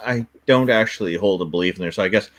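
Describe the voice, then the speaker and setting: A man's voice: low voice, fast talker. A man co-hosting a livestream, chatting casually and adding dry, wry remarks. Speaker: co-host.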